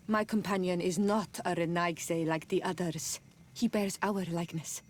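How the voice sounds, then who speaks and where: A woman's voice: lowish voice, darkish tone, slightly rough. An actress playing a respectful, curious female companion in a fantasy video game, speaking in an earnest, deferential way. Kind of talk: video game character acting.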